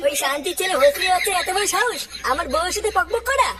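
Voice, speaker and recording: An actor voicing a blustering, foul-mouthed cartoon husband with an artificially pitched-up voice, full of indignation. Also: lively intonation, fast talker, over a noisy crowd. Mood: outraged. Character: hot-tempered, foul-mouthed drunkard husband and club boss. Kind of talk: comic cartoon dubbing. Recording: very noisy track.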